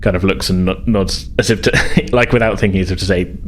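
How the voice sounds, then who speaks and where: A man's voice: low-pitched, steady tone, brisk. A man acting as game master, narrating casually and improvising in a rambling, self-correcting way. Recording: faint background noise.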